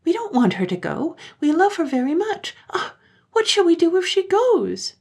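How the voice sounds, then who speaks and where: A woman voicing a group of young children who cry out and beg in high, breathless voices, in a storybook reading. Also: big pitch swings, moderate pace, exaggerated voice. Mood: desperate. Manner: high, breathless, pleading cries.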